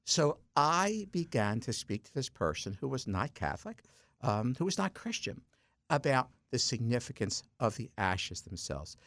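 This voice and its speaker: A man's voice: medium pitch, big pitch swings, moderate pace, a bit bright, dry recording. A man in his sixties, a deacon guest on a radio talk segment, chatty and self-mocking, telling stories with frequent stumbles and restarts. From South Jersey, US. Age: 60s.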